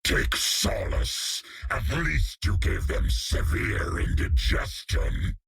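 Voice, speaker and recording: Creepy alien symbiote creature for a game, super gravelly, slow, hissy and menacing. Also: male voice, fairly low pitch, lively intonation, fairly bright tone, no echo, studio quality, full character voice. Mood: grim. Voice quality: hissing, guttural rasp. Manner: ominous, formal, calls itself "we". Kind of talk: video game character lines.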